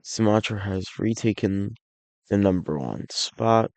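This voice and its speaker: A raspy, unwell man narrating a map. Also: fairly low pitch, a little slow, darkish tone, no room sound. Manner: rambling, with frequent restarts and self-corrections. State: unwell, a bit hoarse. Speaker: alternate-history map series creator.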